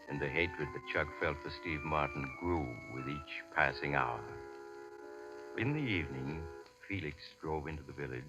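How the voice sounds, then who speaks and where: An actor as a mysterious storyteller narrating 1940s radio suspense in a measured, theatrical, ominous manner. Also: male voice, very deep voice, low-quality recording. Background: music.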